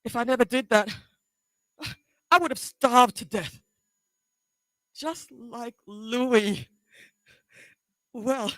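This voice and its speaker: A woman performing a dramatic monologue as a bitter, wounded grown daughter confronting her neglectful mother in halting, emotional, accusing speech. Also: fairly high pitch, a bit bright, dry recording, exaggerated voice. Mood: hurt, anguished.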